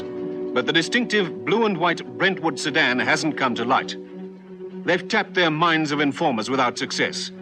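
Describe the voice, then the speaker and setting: A man performs a grave narrator of a vintage police radio serial. His delivery is formal and dramatic, with music clearly behind him. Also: on the high side, lightly acted.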